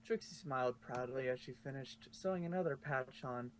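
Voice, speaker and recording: A man's voice: medium pitch, normal speed, poor audio. A man reading scripted story narration aloud as the narrator. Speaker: narrator of a fan fiction reading. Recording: faint background noise.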